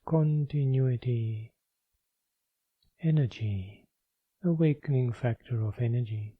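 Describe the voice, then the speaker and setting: A man leading a guided meditation as a teacher, speaking in measured, deliberate phrases of gentle instruction. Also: mid-range voice, husky, no echo, phone-quality sound.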